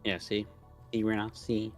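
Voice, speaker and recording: Low-pitched man joking on a gaming stream. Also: monotone, moderate pace. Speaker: live streamer, co-host. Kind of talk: casual chat on a gaming live stream. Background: music.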